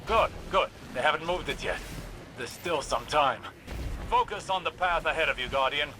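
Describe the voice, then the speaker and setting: An actor playing a solemn, intense mentor in a sci-fi video game, speaking formally and urgently. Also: male voice, fairly high pitch, animated, natural voice. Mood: solemn encouragement. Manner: formal, measured, urging. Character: solemn, intense male mentor urging on a warrior. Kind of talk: video game cutscene acting. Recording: gunfire and vehicle noise behind.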